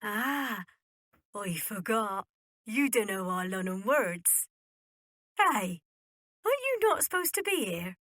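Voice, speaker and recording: A woman acting a cheeky, eager young servant boy with a husky voice in an amateur radio drama. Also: mid-range voice, big pitch swings, slow pace, pauses often, a bit bright, no room sound, clean studio sound, full character voice. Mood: mischievous. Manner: colloquial, cheeky.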